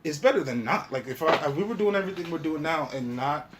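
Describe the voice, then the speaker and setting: A man's voice: fairly high pitch, animated, fast talker. A man in a casual podcast chat, talking in an informal, slangy way with plenty of swearing. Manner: profane, slangy, informal talk. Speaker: podcast host. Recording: clear background noise.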